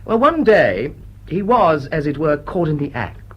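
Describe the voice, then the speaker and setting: An actor playing a dry, urbane English lord and suitor in a stage-style radio drama, speaking in a husky, understated, hesitant way. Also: male voice, on the high side, big pitch swings, unhurried, dull audio. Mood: wry, reflective.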